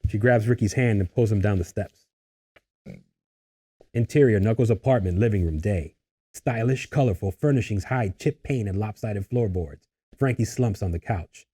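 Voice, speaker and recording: A man's voice: on the low side, normal speed, dark tone, husky. A man narrating a screenplay, reading out scene headings and stage directions as scripted narration.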